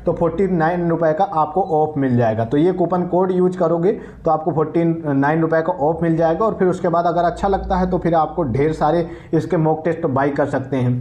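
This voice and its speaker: A man's voice: fairly high pitch, fairly quick, hardly any pauses, mellow. A man presenting an informal promotional walkthrough, advising viewers in a conversational way. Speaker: presenter promoting an exam app.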